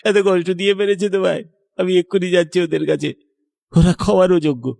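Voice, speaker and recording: A man's voice: high-pitched, fairly even intonation, fast, gravelly, darkish tone, dry recording, studio quality. Man playing an earnest lovestruck young cartoon suitor. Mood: outraged. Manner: earnest, dramatic, emotional. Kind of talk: melodramatic cartoon voice acting.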